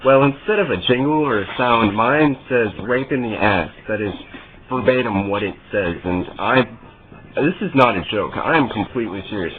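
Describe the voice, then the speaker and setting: A man making a prank phone call as a customer, speaking in a halting, deadpan, mock-earnest way. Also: mid-range voice. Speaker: prank caller. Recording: muffled narrowband phone call.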